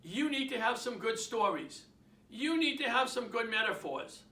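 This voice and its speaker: Man, Boston accent, sales trainer, high bright voice, emphatic and repetitive, roomy sound from off the mic. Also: lively intonation, moderate pace, far from the mic. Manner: emphatic, repeats points, asks the audience questions. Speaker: real estate sales trainer. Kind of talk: motivational sales talk.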